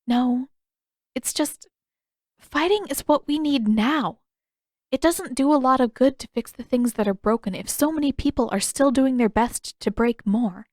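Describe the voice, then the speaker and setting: A woman's voice: high-pitched, unhurried, a little mellow, no echo, clean studio sound, pitched above the performer's own voice. Woman as an earnest, stubborn teenage girl. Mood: determined.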